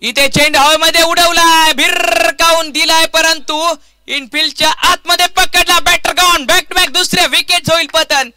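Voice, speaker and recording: A man's voice: very high voice, fairly even intonation, normal speed, bright, forceful tone. A man with a Maharashtrian accent giving live play-by-play cricket commentary in grandiose, dramatic phrases with repeated stock lines.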